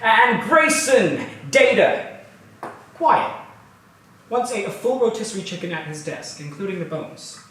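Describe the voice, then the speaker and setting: An actor, a man, playing a glib, excitable tech founder in a staged reading, showy and sardonic in manner. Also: high-pitched, a little slow. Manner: showy, sardonic, flustered asides. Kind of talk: theatrical monologue, staged reading. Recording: very echoey room, distant mic.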